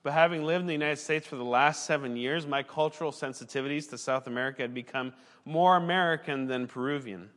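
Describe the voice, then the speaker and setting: A man's voice: a little high, quick pace, fairly bright tone, big-room sound. A man, a pastor and former missionary, preaching a sermon in an earnest, conversational way and now and then correcting himself mid-sentence.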